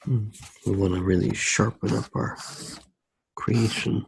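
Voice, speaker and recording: A soft-spoken man narrating a drawing in ASMR style, hesitant and pausing mid-sentence. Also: fairly low pitch, steady tone, gravelly, slight background noise, rough recording. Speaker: ASMR art video host.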